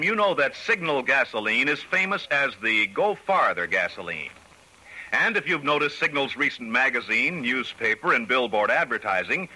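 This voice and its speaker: A man's voice: medium pitch, bright, forceful tone, low-quality recording. An American man working as a 1940s radio announcer, reading a sponsor message with a polished, emphatic sales delivery. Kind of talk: 1940s radio advertisement read.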